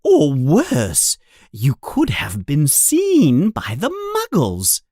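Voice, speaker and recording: Man voicing a shrill scolding mother. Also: huge pitch swings, takes it slowly, a bit bright, breathy edge, no room sound, clean studio sound, full character voice. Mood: angry. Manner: shrill scolding, sudden sweetness to guests. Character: stern short plump mother, scolding, motherly.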